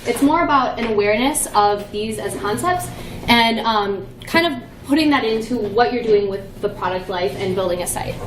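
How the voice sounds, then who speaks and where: A woman's voice: on the high side, normal speed, a little hiss or hum. Playful young woman presenting in an echoey room. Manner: playful, chatty with many fillers. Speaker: conference presenter. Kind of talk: conference talk. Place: conference room.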